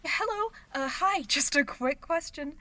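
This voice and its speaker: A woman's voice: high-pitched, animated, a little slow, pushed and bright, full character voice. A woman playing a bewildered, flustered young actress in an audio drama, polite and full of questions. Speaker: actor in an audio fiction podcast. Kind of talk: acted audio drama dialogue.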